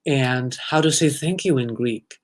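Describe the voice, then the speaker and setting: A man's voice: medium pitch, measured pace. A young man from Thailand with a Thai accent, hosting a casual live stream, chatting with viewers and reading their comments aloud. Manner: chatty, reads out viewer comments, sprinkles in foreign phrases. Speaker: livestream host.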